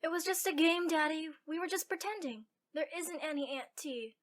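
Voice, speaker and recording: A woman's voice: sits high, normal speed, natural voice. A woman playing a sensible young girl, a protective elder sister, in a radio drama, with an earnest, plain-spoken manner. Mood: pleading.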